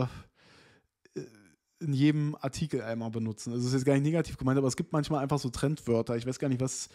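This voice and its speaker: A man's voice: mid-range voice, a little mellow. Streamer, thirties, male, reads an article on stream and riffs on it casually, desk mic in a small room. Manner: reads text aloud, then comments in loose asides with fillers. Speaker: streamer reading and reacting to an interview. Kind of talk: casual chat, reading an article aloud.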